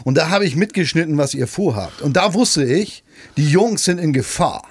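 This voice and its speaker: Guy playing a rough folksy bartender, comedy radio play, husky, bit high, chatty and rambling. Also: lively intonation, moderate pace, no echo. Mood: confiding, proud. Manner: folksy, rambling, tags sentences with "ne". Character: rough, folksy male pub landlord, boastful rescuer. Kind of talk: comic radio play acting.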